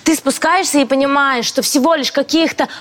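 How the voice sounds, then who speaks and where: A woman playing an excitable tourist gushing over a historic hut. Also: high-pitched, fairly quick, crowd noise, lightly acted.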